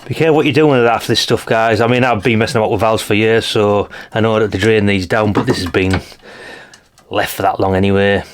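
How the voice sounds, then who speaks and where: British bloke, lowish voice, rambly casual teardown chat, thinking out loud like a hobby channel host. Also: male voice, steady tone, brisk, a little hiss or hum. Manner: chatty, rambling, thinking aloud. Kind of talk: casual hands-on teardown commentary.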